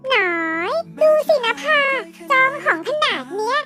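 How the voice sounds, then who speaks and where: A woman's voice: very high-pitched, lively intonation, measured pace, bright, forceful tone, full character voice. A woman voicing a haughty rich teenage schoolgirl who mocks scholarship students, in a fan-made cartoon. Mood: scheming. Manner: sneering, mocking. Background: background music.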